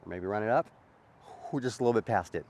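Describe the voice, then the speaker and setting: A man's voice: lowish voice, fast, a little mellow, a little hiss or hum. A man with a sore throat from a cold, chatting casually as host of a disc golf vlog on an outdoor course. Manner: easygoing, chatty, frequent uhs.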